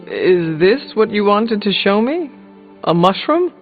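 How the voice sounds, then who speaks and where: An actor playing a stoic, formal warrior hunter in a video game, speaking bluntly in a measured way. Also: male voice, high-pitched, sing-song, narrow, tinny audio, exaggerated voice. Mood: dry. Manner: measured, formal, without contractions. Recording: thin band-limited audio, faint music.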